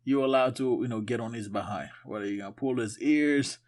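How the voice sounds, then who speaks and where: A man's voice: medium pitch, brisk, a little mellow. A man from Haiti co-hosting a podcast, talking casually in a conversational, storytelling way. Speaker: podcast co-host. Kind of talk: casual chat.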